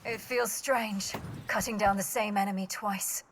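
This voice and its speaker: A woman's voice: medium pitch, fairly even intonation, measured pace, slight background noise, lightly acted. A woman playing a composed, grave fantasy warrior in a video game cutscene. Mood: uneasy.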